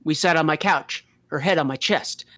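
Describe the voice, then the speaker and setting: A man's voice: fairly high pitch, moderate pace, sharp tone, dry recording. A man reading a character's lines aloud in a joking group story reading. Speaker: character reader in a group fan fiction reading. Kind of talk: acted dialogue reading with joking asides.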